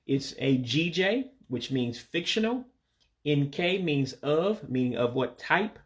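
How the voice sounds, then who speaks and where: An American man, a native English speaker, giving a casual video language lesson and slowly repeating words for pronunciation. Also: a little high, expressive. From United States. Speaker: language learner teaching vocabulary.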